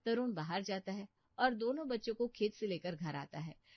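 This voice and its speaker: A woman narrates a scripted family story in a mid-pitched voice. The recording is very dry and a little dull. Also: expressive, normal speed, dry recording.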